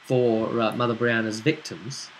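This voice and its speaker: A man's voice: medium pitch, little pitch movement, measured pace, rough recording. A man acting as game master, casually recapping a tabletop role-play session and checking in with his player as he goes. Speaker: game master and host of the vidcast. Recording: faint background noise.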